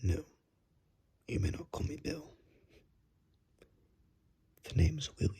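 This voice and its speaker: A man playing a polite, formal gentleman vampire in a soft, courteous whisper for an ASMR roleplay, recorded on a phone's built-in microphone. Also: a little high, big pitch swings, creaky, slightly rough, voice pushed up, exaggerated voice. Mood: firm. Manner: courteous, formal, drawn-out phrasing. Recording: unplugged iPhone microphone.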